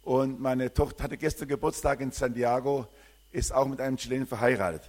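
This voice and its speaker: A man's voice: medium pitch, fairly even intonation, brisk, darkish tone. An older man from southern Germany, a missionary, greeting a church congregation and giving a rambling personal testimony with frequent hesitations.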